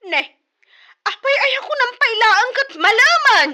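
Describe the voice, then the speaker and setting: A woman's voice: very high voice, animated, measured pace, sharp tone, heavily acted. An actress playing a bossy, teasing woman in a comedy drama, speaking with an Ilocano accent. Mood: nagging.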